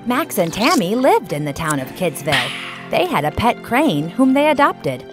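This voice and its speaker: Animated woman narrating a children's story. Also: medium pitch, moderate pace. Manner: expressive, clearly enunciated storytelling. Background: background music.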